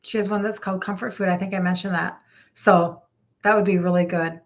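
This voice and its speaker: A woman in her fifties with a Minnesota accent, hosting a casual live stream and chatting excitably with viewers by name. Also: fairly low pitch, brisk, highs cut off. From Minnesota, United States. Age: 50s. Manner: excitable, gushing, repeats phrases for emphasis. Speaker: live stream host, health coach.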